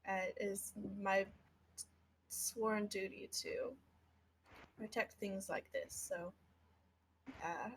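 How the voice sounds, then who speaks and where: A woman's voice: medium pitch, slight background noise, natural voice. A woman playing an earnest, dutiful druid. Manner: earnest, thoughtful. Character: serious male druid, dutiful and committed.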